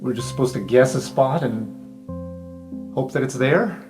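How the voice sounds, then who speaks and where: A man chats casually as a player in a roleplaying game, slipping in and out of character. His voice is mid-pitched, and plucked guitar music runs clearly underneath. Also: moderate pace.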